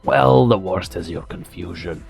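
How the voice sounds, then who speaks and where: A man playing a mocking, bitter old scientist in a theatrical, deliberate fan-dub performance. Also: low voice, lively intonation, creaky, darkish tone, faint music behind, exaggerated voice. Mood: taunting. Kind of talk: fan-dub game drama acting.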